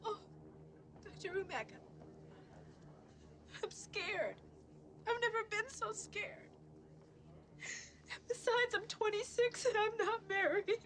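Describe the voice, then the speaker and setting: A woman's voice: extremely high pitch, slow pace, pauses often, a crowd in the background. A woman playing a frightened, tearful woman in her twenties in a film comedy. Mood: tearful fear. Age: 20s. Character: frightened, tearful young female passenger or stewardess.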